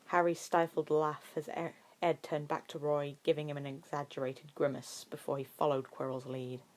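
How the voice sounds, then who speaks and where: A woman narrates fiction with a low-pitched voice. Her delivery is expressive scripted storytelling in the manner of an amateur audiobook. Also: moderate pace.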